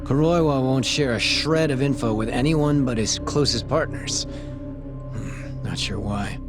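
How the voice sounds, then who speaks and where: A man playing a guarded, sardonic shady underling, talking in a blunt, deadpan way in a game cutscene. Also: medium pitch, measured pace, over loud music, lightly acted. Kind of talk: video game cutscene acting.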